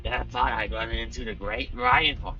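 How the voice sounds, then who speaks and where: A man's voice: mid-range voice, level tone, normal speed, creaky, music in the background, voice pushed up, natural voice. A man voicing a scrappy, talkative video game hero who is loyal to friends. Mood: reminiscing. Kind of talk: rehearsing video game character voice lines. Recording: muffled, with loud game audio behind.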